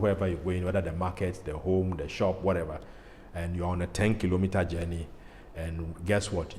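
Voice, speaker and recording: Male presenter, Ghanaian accent, low voice, emphatic and repetitive explainer delivery, long room reverb. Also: a little mellow. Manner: emphatic, repeats phrases. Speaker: pilot host. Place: indoor studio. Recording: echoey hall-like studio, faint noise.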